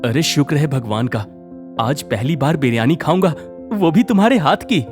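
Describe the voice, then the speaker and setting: Kind working-class villager, male actor: exclamatory cartoon read, swinging pitch, music clearly behind. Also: moderate pace, natural voice. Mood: delighted. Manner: expressive, exclamatory. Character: kind, hardworking adult village labourer, devoted husband. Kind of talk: cartoon moral-story voice acting.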